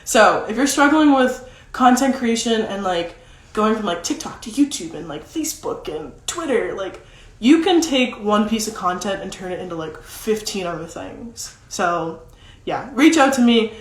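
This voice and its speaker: A young woman with a deeper-than-usual voice talks casually in a roomy, echoey space. She chats freely, with lots of "like" fillers, as she coaches her viewers on content. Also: animated, moderate pace. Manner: chatty, many "like" fillers. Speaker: social media content coach. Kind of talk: casual livestream talk. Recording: roomy echo.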